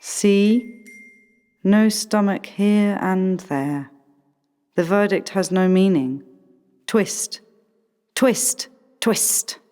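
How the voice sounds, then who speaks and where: A woman playing a whimsical, musing character in a radio play, with deliberate, drawn-out phrasing. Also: medium pitch, warm tone. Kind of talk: slow, stylised art radio play delivery. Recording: long reverb, music behind the voice.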